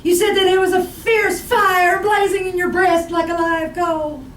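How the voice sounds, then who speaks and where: A woman's voice: very high-pitched, little pitch movement, a little slow, a bit bright. A woman playing a nagging, romantic, chatty wife in a radio comedy sketch, needling and plaintive. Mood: fretful, wheedling. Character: nagging, romantic, chatty wife who won't let husband sleep. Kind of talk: old-time radio comedy sketch acting. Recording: echoey live room, distant mic, faint noise.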